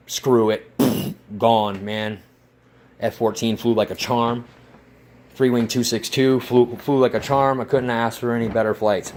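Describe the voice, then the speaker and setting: A man vlogging casually about his model plane build, rambling and swearing with excitement. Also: medium pitch, a little hiss or hum. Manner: rambling, profane, enthusiastic. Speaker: RC hobby vlogger. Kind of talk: casual workshop vlog.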